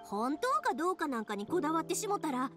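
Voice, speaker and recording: A woman playing a cheerful, warm-hearted young fireworks maker in an anime-style game, speaking expressive, friendly Kansai dialect. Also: high-pitched, fast, music in the background. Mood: warm, earnest. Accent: Kansai Japanese. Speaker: voice actor in a video game.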